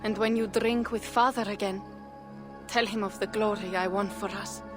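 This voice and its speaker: A woman's voice: mid-range voice, fairly even intonation, moderate pace, over music, natural voice. An actress playing a proud, grieving Viking warrior woman in a video game cutscene, speaking solemnly. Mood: proud grief.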